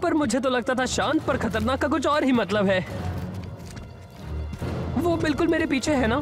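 A plucky teenage boy hero from a cartoon. He is cocky and earnest, always ready with a wisecrack. Loud music plays behind him. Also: very high voice, animated, normal speed. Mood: wry, uneasy. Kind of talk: Hindi cartoon dub acting.

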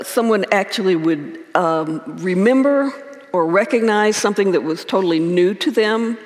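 A woman in her sixties, a priest giving a calm, measured sermon at a church pulpit microphone, in a lightly voice. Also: medium pitch. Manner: calm, measured, gentle repetition. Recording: long church reverb.

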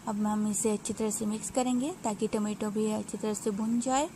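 A woman narrating a home cooking recipe step by step in an unhurried, explanatory way, pausing with small hesitations. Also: mid-range voice, fairly even intonation, noisy background. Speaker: home cook.

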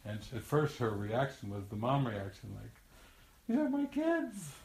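A man's voice: medium pitch, wildly swooping pitch, moderate pace, darkish tone. An older man, a spiritual teacher, telling a long anecdote in a rambling, chatty way with frequent restarts and laughter. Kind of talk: storytelling teaching talk. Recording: echoey room.

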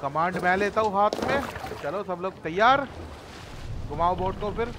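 Fast, high-voiced gaming streamer. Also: male voice, expressive. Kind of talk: casual gameplay commentary. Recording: game noise behind voice.

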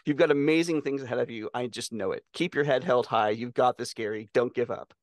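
A man's voice: mid-range voice, brisk, no echo. A man in his forties chatting casually as a podcast co-host. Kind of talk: casual chat. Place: indoors, video call. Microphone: headset.

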